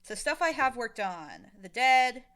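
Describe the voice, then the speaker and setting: A woman being interviewed, talking in a casual, informal and animated way about her work. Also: sits high, measured pace. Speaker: interviewee, audio drama showrunner and voice actor.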